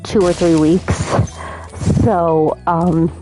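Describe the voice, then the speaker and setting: A woman's voice: on the low side, little pitch movement, very slow, music in the background, low-quality recording. A woman in her thirties hosting a casual let's play, chatty and apologetic, her voice a little off from being sick. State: slightly off voice from illness. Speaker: gaming channel host.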